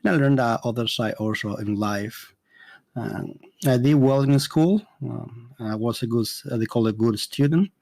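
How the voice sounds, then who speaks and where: A man's voice: medium pitch, a little mellow, no room sound. A middle-aged man from the Dominican Republic with a Spanish accent, hosting a podcast as an immigration consultant and speaking earnestly, with frequent fillers and restarts. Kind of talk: solo podcast monologue.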